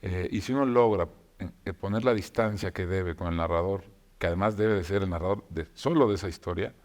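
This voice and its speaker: A man, a novelist, talking reflectively about writing, with frequent pauses and restarts. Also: low-pitched, a little mellow. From Mexico. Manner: reflective, many filler hesitations and restarts. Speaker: novelist guest. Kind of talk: conversational talk show discussion.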